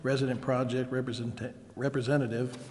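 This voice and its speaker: Flat-toned man reading in an echoey hall. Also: medium pitch, a little fast. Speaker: city council member. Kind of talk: formal reading of motions. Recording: echoey council chamber, faint noise.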